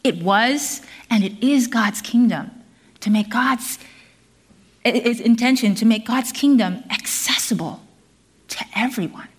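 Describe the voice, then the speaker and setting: A woman's voice: a little high, slightly rough. Earnest woman preaching a sermon. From South Korea. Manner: earnest, measured, with fillers and restarts.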